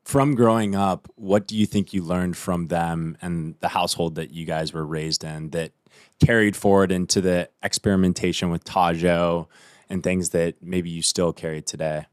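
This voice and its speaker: A man hosting a podcast, asking thoughtful questions in a measured way. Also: low voice, fairly even intonation, no room sound, clean studio sound. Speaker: podcast host. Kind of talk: interview questions.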